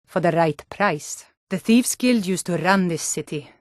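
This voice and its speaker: A woman's voice: lowish voice, expressive, a little slow, dry recording, clean studio sound. A woman voice actor playing a tough, wary female Nord city guard in a fantasy video game, with blunt, colloquial delivery. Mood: sly, hinting. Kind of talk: scripted fantasy game dialogue in short standalone lines.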